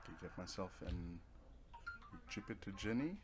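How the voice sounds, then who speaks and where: Man, deep voice, casual gaming commentary, offhand, step-by-step explanations. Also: lively intonation, moderate pace, noisy background. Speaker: gamer playing and explaining a video game. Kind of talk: casual let's play commentary.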